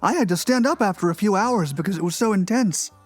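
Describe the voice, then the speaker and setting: Morose, earnest cartoon blogger played by a woman, raspy and animated in pitch, deadpan wit. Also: quick pace, faint music behind, lightly acted. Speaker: performer in a podcast dramatic reading. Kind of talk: dramatic reading of a comic blog.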